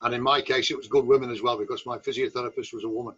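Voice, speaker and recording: A man's voice: medium pitch, fairly even intonation, very fast, fairly bright tone, husky. A man over seventy-five with an English accent, speaking as a veteran football coach in a reflective, conversational interview. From England. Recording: slightly dull, lo-fi sound.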